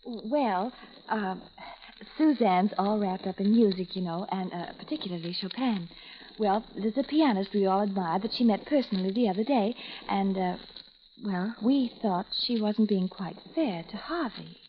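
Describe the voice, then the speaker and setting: An actress playing a scheming young wife who stammers coyly and turns defiant, in a 1940s radio drama. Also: female voice, mid-range voice, moderate pace, natural voice. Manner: coy, breathless stammers turning sharp. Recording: dull old radio recording with faint hiss.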